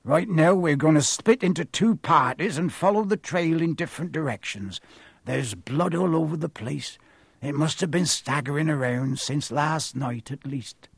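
A man voicing a gruff, fierce but big-hearted gamekeeper in an audiobook, with blunt, rustic, growling speech. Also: fairly high pitch, normal speed, no room sound. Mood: cautioning.

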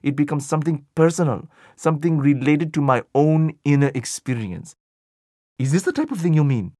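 A man's voice: fairly high pitch, expressive, moderate pace, darkish tone, no room sound, studio quality. A man voicing an older male Buddhist teacher in an audiobook dialogue, earnest and questioning, thinking aloud as he searches for meaning. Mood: reflective. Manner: questioning, searching, thoughtful delivery.

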